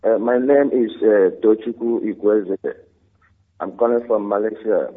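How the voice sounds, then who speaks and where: A man's voice: fairly low pitch, fairly even intonation, a little slow, a little hiss or hum. A man, originally from Enugu State, phoning in to a radio show, earnest and hesitant with many fillers and repeated words. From Enugu State, Nigeria. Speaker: caller. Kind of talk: phone call to a radio show. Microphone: phone. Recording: tinny phone line.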